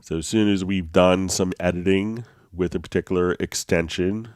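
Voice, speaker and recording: A man hosting a live software tutorial stream, sounding a little congested from a slight cold, talking in an easygoing way with frequent fillers and restarts. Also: low-pitched, steady tone, normal speed, no room sound, studio quality. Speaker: host.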